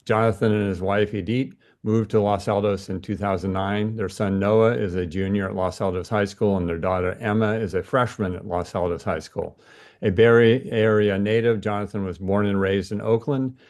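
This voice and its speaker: Male interviewer, lower-pitched voice, measured formal delivery of prepared material. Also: steady tone, a little mellow. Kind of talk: prepared introduction and interview questions.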